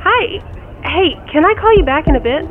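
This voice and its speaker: Harried mother juggling kids, high-pitched, heard through a thin phone line, natural radio drama delivery. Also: expressive, moderate pace, music in the background. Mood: harried, friendly. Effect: phone call filter. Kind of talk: naturalistic audio drama dialogue. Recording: tinny phone line, faint noise.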